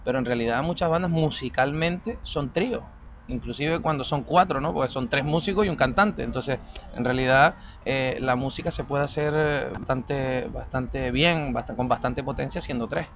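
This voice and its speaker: Canarian male singer-bassist, loose word-searching answers, mid-pitched, faint noise behind. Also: moderate pace, a bit bright. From Canary Islands. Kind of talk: casual interview answers.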